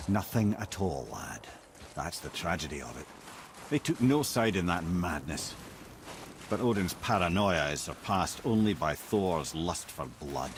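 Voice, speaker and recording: An actor playing a wise, wry old storyteller with a Scottish accent and a theatrical, lilting delivery in a video game. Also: male voice, low-pitched, animated, a little slow, slight background noise. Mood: somber.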